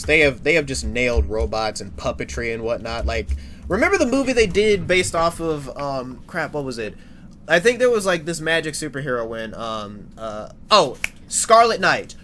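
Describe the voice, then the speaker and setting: Brash superhero voiced by a man, excitable and foul-mouthed, keeps tripping over his own sentences, bright forceful tone, faint hiss behind. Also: fairly high pitch. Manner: excitable, swears a lot, frequent restarts. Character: brash young adult male superhero, talkative. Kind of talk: improvised in-character chat.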